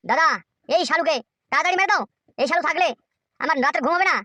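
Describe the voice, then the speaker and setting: A woman voicing a whiny, crude, hot-headed male henchman in a comic cartoon, with a squeaky, wheedling voice. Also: bright, forceful tone, no room sound. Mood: whining, aggrieved. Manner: wheedling, complaining, fast-talking.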